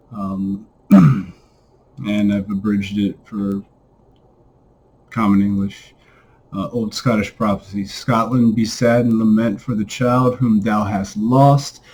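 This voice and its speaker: A man with a husky voice giving a solemn, declamatory recitation of an old text, phrased deliberately. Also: lowish voice, darkish tone, a little hiss or hum, rough recording. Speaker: reader.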